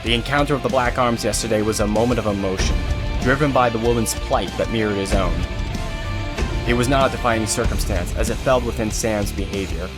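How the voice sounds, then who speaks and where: A man reading scripted story narration for an audio drama. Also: lowish voice. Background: music, guitar.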